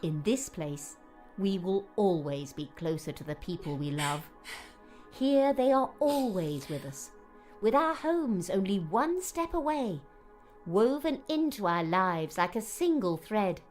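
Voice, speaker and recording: A woman playing a kindly, motherly shop woman in an audiobook, speaking in a soothing, hushed way over music. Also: mid-range voice, sing-song, unhurried. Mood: encouraging. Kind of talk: audiobook character dialogue. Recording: music under the voice.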